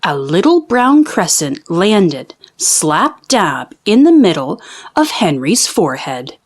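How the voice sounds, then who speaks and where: A woman reading a children's storybook aloud in an expressive, theatrical and deliberate storytelling manner. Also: lowish voice. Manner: theatrical, deliberate storytelling with drawn-out sound words. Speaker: children's book read-aloud host.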